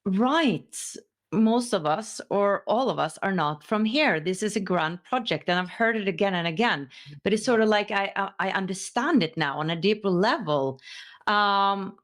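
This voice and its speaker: A woman's voice: medium pitch, expressive, normal speed. A woman from Norway, Norwegian by first language, hosting an interview and asking thoughtful, curious questions in English. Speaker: interview host. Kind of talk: casual spoken interview.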